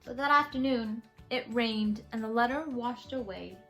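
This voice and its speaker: A woman host reading a children's picture book aloud in an animated, expressive storytelling way with drawn-out phrasing. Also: a little high, soft background music.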